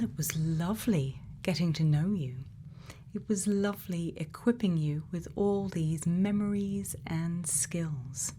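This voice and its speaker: A woman doing an ASMR roleplay as a gentle, caring scientist, speaking softly and whispering in a hushed, deliberate manner close to the mic. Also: lowish voice, a little hiss or hum. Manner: slow, hushed, deliberate, with thoughtful pauses. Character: gentle, caring female scientist tending a robot. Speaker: ASMR creator.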